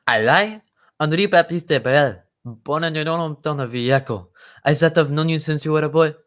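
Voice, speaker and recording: A man's voice: on the high side, moderate pace, a bit bright, lightly acted. A man playing an indignant working-class fisherman and smuggler in an old-time radio drama.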